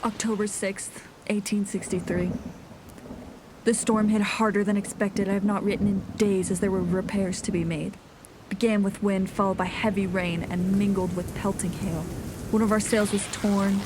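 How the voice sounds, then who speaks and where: A woman playing a grave nineteenth-century ship's captain, reading out a log in a formal, measured way for an audio drama. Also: medium pitch, flat delivery, noisy background, close to an everyday voice. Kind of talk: scripted audio drama narration. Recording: music under the voice.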